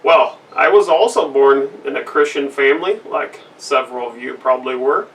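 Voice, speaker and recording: A man raised in Brazil, giving a recorded testimony in an earnest, halting way with many fillers. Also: medium pitch, a bit bright, far from the mic, a little hiss or hum, rough recording. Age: adult with a school-age daughter. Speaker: missionary kid giving a testimony. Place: indoor room with a flag behind.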